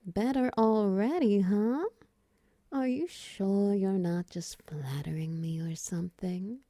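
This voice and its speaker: A seductive, doting spider woman played by a female voice actor for a romance audio roleplay. Her voice is very dark and soft, lowish and breathy, slipping often toward a whisper. She coaxes slowly and teasingly, right up close on a dry mic. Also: no room sound, exaggerated voice. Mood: flirty, teasing. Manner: slow, coaxing, teasing endearments. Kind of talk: intimate ASMR-style romance audio roleplay.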